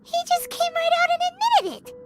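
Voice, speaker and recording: Tiny female fairy, childlike squeak, very high, bouncy intonation, cheeky, blunt, chatty, game character. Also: very animated, brisk, over loud music. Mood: incredulous. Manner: chatty, refers to self in third person. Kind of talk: video game cartoonish character acting.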